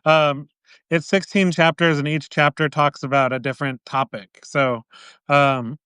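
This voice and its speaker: A man being interviewed as an author, explaining in a measured way with "um" pauses. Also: a little high, steady tone, bright, forceful tone, clean tone, dry recording. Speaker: guest author. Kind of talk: interview answers.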